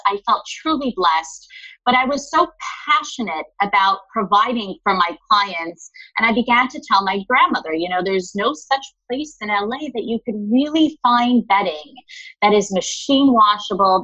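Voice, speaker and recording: A woman's voice: mid-range voice, pushed and bright, dull audio. A woman who runs a linen store, chatting in a podcast interview and often calling the listener honey. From Los Angeles area.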